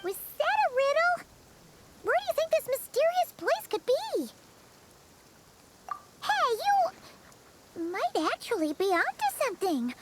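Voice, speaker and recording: A woman's voice: very high-pitched, wildly swooping pitch, unhurried, pauses often, noisy background, heavily acted. A woman voicing a small, chirpy, childlike fairy companion in a video game, eager, cheeky and chatty. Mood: curious, puzzled. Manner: exclamatory, chatty. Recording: music behind the voice.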